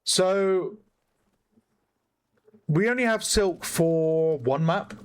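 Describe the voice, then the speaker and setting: Hoarse male esports caster. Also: high-pitched, very slow, rough recording. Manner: chatty play-by-play, laughs often, self-correcting. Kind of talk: live game commentary.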